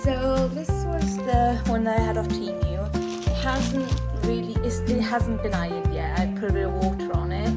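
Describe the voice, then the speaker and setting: Young British woman vlogger, chatty and unsure, over loud background music. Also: on the high side, moderate pace. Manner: chatty, rambling, self-doubting. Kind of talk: casual vlog chat. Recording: music playing behind the voice.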